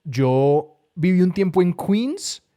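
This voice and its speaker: Casting: a man, Colombian co-host, slightly higher voice, casual and reflective. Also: lively intonation, slow pace, dark tone. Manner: thoughtful, many fillers, hesitant restarts. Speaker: podcast co-host. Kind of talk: casual conversation.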